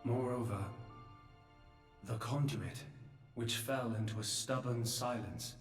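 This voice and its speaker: An actor playing a solemn, remorseful, godlike old creator in a scripted video game drama, speaking in measured, philosophical phrases. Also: male voice, medium pitch, close to an everyday voice. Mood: grave. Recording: roomy long reverb, distant, faint music.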